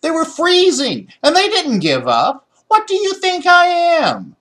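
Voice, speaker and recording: Storybook boy, played by a man: headstrong, emphatic, high and lively. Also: a little slow, exaggerated voice. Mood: angry, indignant. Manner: shouting, emphatic. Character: indignant, stubborn young boy refusing to give up.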